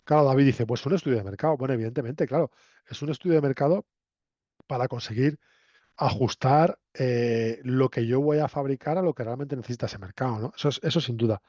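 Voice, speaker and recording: Mellow-voiced Castilian Spanish man lecturing, echoey room. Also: medium pitch, brisk. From Spain, La Mancha. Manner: didactic, conversational, frequent checking tag questions. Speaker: university lecturer. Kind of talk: interactive lecture to students. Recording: roomy echo, dull lo-fi sound.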